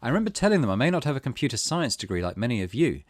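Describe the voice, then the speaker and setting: Man reading as an earnest, animated woman manager. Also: mid-range voice, very fast, dry recording, studio quality, pitched above the performer's own voice. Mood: blunt, confident. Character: earnest, plain-spoken female clinic manager being interviewed. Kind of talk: quoted interview read aloud from a visual novel.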